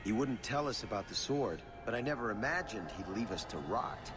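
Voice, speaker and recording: An actor playing a distressed young merchant's son in a video game, earnest and pleading. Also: male voice, fairly low pitch, animated, brisk, close to an everyday voice. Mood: dismayed. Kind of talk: video game cutscene acting.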